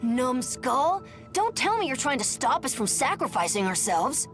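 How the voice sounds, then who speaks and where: A woman's voice: high voice, sing-song, normal speed, music in the background. A woman voicing a brash, irritable young wind spirit in a video game, curt and scornful.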